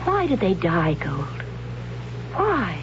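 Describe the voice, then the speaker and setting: Brave, slow-spoken young tribeswoman. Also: lowish voice, wildly swooping pitch, over music, full character voice. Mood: wondering. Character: brave, wondering young tribeswoman of a primitive future. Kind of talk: 1970s radio drama acting. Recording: dull, noisy old radio broadcast with hum.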